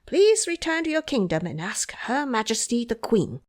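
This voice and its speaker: A woman voicing a cocky, quick-witted male monkey disciple in an audio drama, brash and persuasive. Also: a little high, sing-song. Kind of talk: audio drama character acting.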